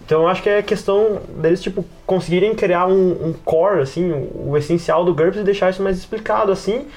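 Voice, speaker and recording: A Brazilian man hosting a casual tabletop gaming podcast. He talks very quickly in a fairly high voice, with loose slangy phrasing and plenty of fillers. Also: a little mellow. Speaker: podcast host. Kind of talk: casual podcast chat about tabletop RPGs.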